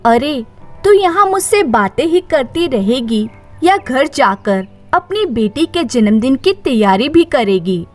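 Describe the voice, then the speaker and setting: A woman's voice: sits high, big pitch swings, slow pace, soft background music, heavily acted. A woman voicing an unhappy, timid young wife of a rich household, evasive and hesitant, in a story.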